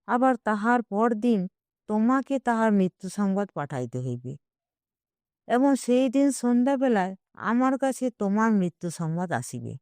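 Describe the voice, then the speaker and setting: Woman voicing an unhurried, wry old matchmaking gentleman. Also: a little high, big pitch swings, no echo, clean studio sound. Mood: alarmed, protesting. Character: old Bengali gentleman, persuasive, wryly self-justifying matchmaker.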